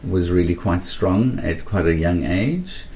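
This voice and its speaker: A man with a South African accent, speaking as a radio interview guest in an earnest, halting way with many pauses and ums. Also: low-pitched, very animated, darkish tone, slight background noise.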